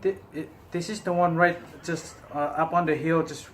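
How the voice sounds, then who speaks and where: High-pitched man making prank calls. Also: steady tone, normal speed, a bit bright, slight background noise. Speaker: prank caller. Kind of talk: improvised prank phone calls.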